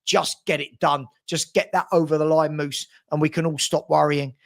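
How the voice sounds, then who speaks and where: Man, forties, creaky gravelly voice, slightly high pitch, matey livestream host, casual, repeats words, answering chat. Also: fairly even intonation, moderate pace. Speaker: host of a football fan livestream. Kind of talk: casual live chat reading and answering viewer comments.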